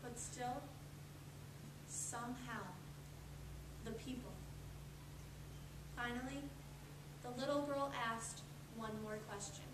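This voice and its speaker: A woman narrating a storybook in readers theatre style, with deliberate, dramatic storytelling. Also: a little high. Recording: echoey room, distant mic, clear noise.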